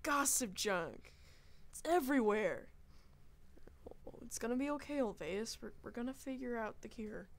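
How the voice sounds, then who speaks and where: Man acting put-upon researcher, very high male voice, earnest, exclamatory, amateur comic drama. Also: lively intonation, a little slow, fairly bright tone, heavily acted. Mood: concerned, weary. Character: earnest, put-upon researcher called Goofy seeking a cure.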